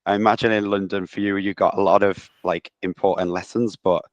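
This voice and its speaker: A man with a very low voice hosts a podcast interview. He talks loosely, with plenty of "like" and "um," and keeps up a steady stream of supportive "yeah"s. The sound is dry and close, with no room around it. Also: a little fast, no room sound, muffled recording. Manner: loose, filler-heavy, encouraging backchannels. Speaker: podcast host. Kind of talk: casual interview chat.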